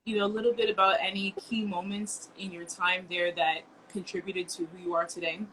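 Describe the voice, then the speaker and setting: A woman's voice: on the low side, little pitch movement, a little fast, fairly bright tone, low-quality recording. A woman hosting an interview show, affirming her guest and asking prepared questions. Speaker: podcast host. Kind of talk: interview host.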